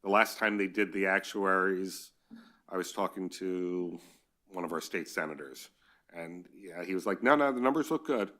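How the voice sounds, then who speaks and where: Male board member, low pitched, forceful tone, chatty and agreeable. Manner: chatty, quick to agree and joke. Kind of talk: meeting discussion.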